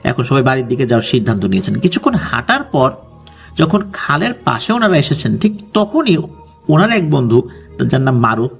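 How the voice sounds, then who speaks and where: Music plays beneath a man's gravelly, medium-pitched voice. He speaks, telling a ghost story in an informal spoken style. His delivery is conversational and builds suspense, with little explanatory asides. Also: big pitch swings, a little fast. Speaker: storyteller, horror story host. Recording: music bed under the voice. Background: background music.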